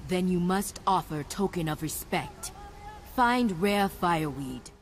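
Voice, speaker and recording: Slow-spoken alien tribeswoman, lowish voice. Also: animated, background noise. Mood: solemn. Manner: measured, broken English. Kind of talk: video game cutscene acting.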